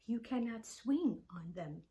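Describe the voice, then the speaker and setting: A woman voicing a gentle, loving old female tree in a storybook, speaking softly and slowly with sighs and whispers. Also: fairly high pitch, expressive. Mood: sorrowful. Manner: soft, slow, sighing, whispery.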